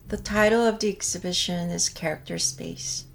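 A middle-aged woman from South Korea whose first language is Korean, speaking as an artist in calm, measured reflective narration. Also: on the low side, vocal fry, a little hiss or hum, poor audio. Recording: faint music behind. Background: soft music.

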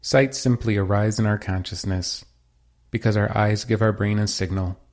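Male meditation guide, lowish voice, measured and soothing instructional read. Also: fairly even intonation, a little mellow. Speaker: meditation teacher.

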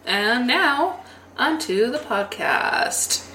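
A young woman co-hosting a casual podcast chat, bubbly and giggly with quick asides. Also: on the high side, big pitch swings, bright, forceful tone, a little hiss or hum. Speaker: podcast co-host. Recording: roomy echo with faint ambience.

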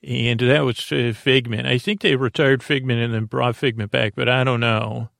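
Man, gravelly voice, dry close sound, meandering bedtime ramble, lots of uh and restarts. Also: mid-range voice, steady tone, normal speed, fairly bright tone, dry recording. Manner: meandering, many fillers and restarts. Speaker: podcast host. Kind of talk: rambling sleep-podcast monologue.

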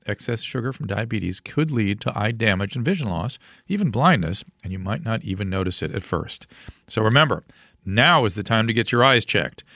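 A man's voice: medium pitch, pushed and bright, no room sound, studio quality. An American man reading a scripted health advertisement as an announcer. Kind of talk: scripted ad narration.

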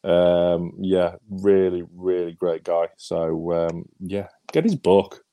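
A young man with a croaky, hoarse voice from a cold, co-hosting a casual podcast with hesitant speech full of ums. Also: sits low, slow pace, dark tone, dry recording. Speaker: podcast co-host. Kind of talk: casual football podcast chat.

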